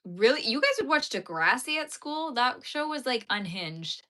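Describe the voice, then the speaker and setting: A woman's voice: fairly high pitch, very animated, moderate pace, sharp tone. A young Canadian woman with a Canadian accent, chatting casually on a livestream, answering viewers' comments while she crochets.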